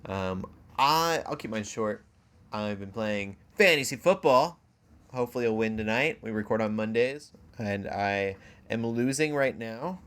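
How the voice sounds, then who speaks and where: A man's voice: on the low side, fairly bright tone. A man hosting a podcast in a casual, jokey, self-deprecating chat style. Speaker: podcast host. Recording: clear background noise.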